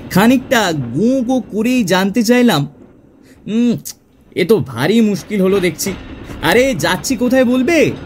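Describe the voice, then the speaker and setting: Male actor as genial clerk-narrator for audio drama: high voice, very lively intonation, theatrical storytelling over music. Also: unhurried. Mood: warm storytelling. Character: genial middle-class Bengali clerk narrating his own tale. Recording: music under the voice.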